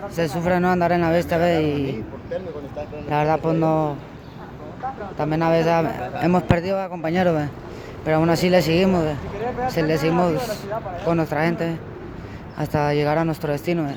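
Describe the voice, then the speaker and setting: A man's voice: on the high side, flat delivery, moderate pace, a crowd in the background. A man with a Honduran accent giving an interview, tagging his phrases with a short filler. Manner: tags phrases with "ve". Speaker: migrant interviewee. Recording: busy outdoor field recording.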